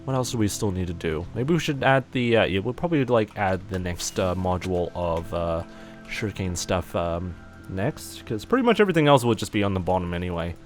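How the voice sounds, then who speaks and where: Male streamer, lowish voice, loose rambling game-stream chatter, faint music underneath. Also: lively intonation, fairly quick. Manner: rambling, many fillers, self-mocking. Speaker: livestreamer. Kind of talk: casual gaming livestream commentary.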